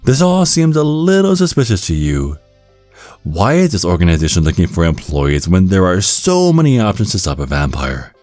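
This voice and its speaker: Low-pitched man narrating, lively intonation. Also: moderate pace, no room sound, faint music behind. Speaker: narrator and ASMR performer. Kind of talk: scripted ASMR narration. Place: close, dry recording with music behind.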